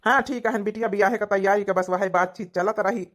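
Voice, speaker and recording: Lady playing old village dad in a cartoon, mid pitch, awadhi accent, steady and placating. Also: fairly quick, lightly acted. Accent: Awadhi, rural north India. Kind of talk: cartoon comedy dialogue.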